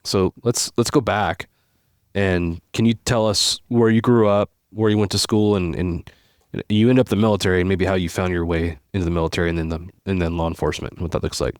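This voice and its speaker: A male podcast host with a very deep voice keeps the conversation moving, affirming his guest and following up with quick, upbeat replies. The sound is dry and close to the mic, with no room around it. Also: fairly even intonation, darkish tone, dry recording. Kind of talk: casual podcast interview.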